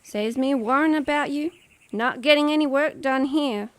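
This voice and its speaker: A woman's voice: sits high, normal speed, dry recording, natural voice. A woman playing a sardonic, practical, teasing frontier woman in a western radio serial. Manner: wry, teasing.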